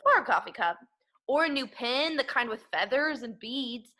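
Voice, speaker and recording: A woman's voice: a little high, expressive, normal speed, bright, forceful tone. A woman voicing eager, chatty young schoolchildren from a storybook in an earnest, exclamatory, childlike way.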